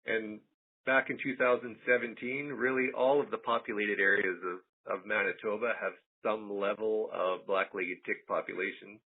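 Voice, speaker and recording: A man speaks over a thin, tinny phone conference line. His voice is fairly low and rather husky. He presents technical material informally, in a measured, halting way with careful qualifications. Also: fairly even intonation. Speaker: animal health surveillance presenter. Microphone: telephone conference call.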